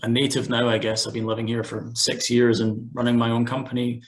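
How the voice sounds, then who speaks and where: A man with a Scottish accent speaks in a level, even tone that stays mostly flat. He talks in a relaxed, self-deprecating way, like a tour company founder greeting people on an online call. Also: medium pitch, fairly quick, poor audio. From Scotland. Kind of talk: casual webinar introduction.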